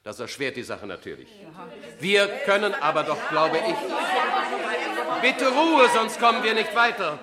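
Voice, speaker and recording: Casting: flustered, insistent official for radio drama, raised pitch, crowd noise around him. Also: male voice, sing-song, unhurried, long pauses. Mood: explanatory, measured. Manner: formal, insistent, repeatedly calling for quiet. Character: harried male official marshalling an unruly crowd of mothers. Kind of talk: 1970s German radio play acting. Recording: clamouring crowd behind the voice. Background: noisy crowd in a hall.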